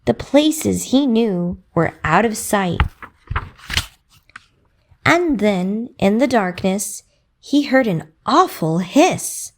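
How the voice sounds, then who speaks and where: A woman narrating a children's bedtime story in a rhythmic, expressive way with dramatic emphasis. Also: mid-range voice, extremely slow, pauses often.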